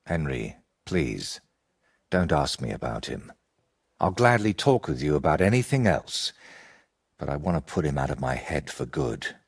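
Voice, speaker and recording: A world-weary, eccentric wandering painter from a medieval game. His voice is very low and rough, with huge swings in pitch. He talks in a theatrical, teasing way and dodges with riddles. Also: very animated, a little slow, heavily acted. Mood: pained, reluctant. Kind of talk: acted dialogue in a medieval video game.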